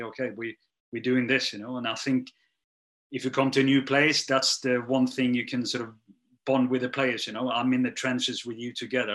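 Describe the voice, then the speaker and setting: Hesitant non-native man, bright tone. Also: medium pitch. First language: not English. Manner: hesitant, many restarts and fillers, trailing off quietly. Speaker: strength and conditioning coach. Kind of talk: interview answers.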